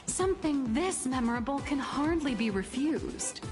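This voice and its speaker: A woman's voice: high-pitched, expressive, moderate pace. A woman playing a bubbly, self-important female comic artist in a video game, with a dramatic, griping manner. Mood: chatty, pitching. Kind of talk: video game character dialogue. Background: game music.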